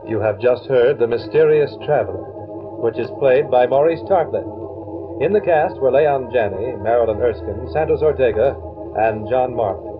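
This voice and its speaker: A man's voice: medium pitch, animated, normal speed. A man reading scripted closing announcements as a 1950s radio announcer. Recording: dull old radio broadcast recording. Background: organ music.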